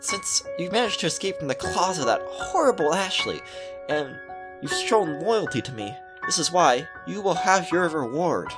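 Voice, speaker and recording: Man putting on a high, sweet princess voice. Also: expressive, normal speed, lightly acted. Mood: playful, seductive. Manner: gentle, coy, stammering at times. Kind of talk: visual novel character read aloud. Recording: music under the voice.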